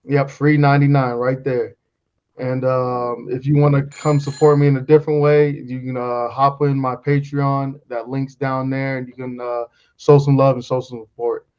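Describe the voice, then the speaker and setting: A man's voice: mid-range voice, flat delivery, moderate pace, darkish tone, smooth. A young man hosting an interview, talking in a casual, slangy, upbeat way. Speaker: interview host. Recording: dull lo-fi sound.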